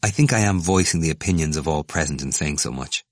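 Man as a suave low-voiced student. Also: animated, fast talker, dry recording, clean studio sound. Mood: suave, amused. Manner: smooth, polite, formal. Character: suave, smiling, mildly mocking young male student. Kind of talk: acted audiobook dialogue.

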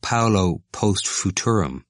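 A man voicing a suave young male student in an audiobook, soft and mild, gently mocking. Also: fairly low pitch, animated, takes it slowly, no echo, clean studio sound. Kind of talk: audiobook character voice.

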